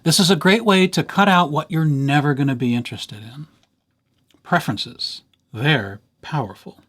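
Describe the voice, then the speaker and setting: A man's voice: on the high side, normal speed, fairly bright tone. A man presenting an informal screencast tutorial for library users, talking through each step in a playful, conversational way with little asides.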